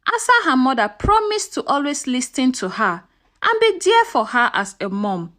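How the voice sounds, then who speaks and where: A woman narrates a folktale in a high, very lively voice. Her delivery is dramatic and earnest, shaped as scripted storytelling for listeners. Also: moderate pace.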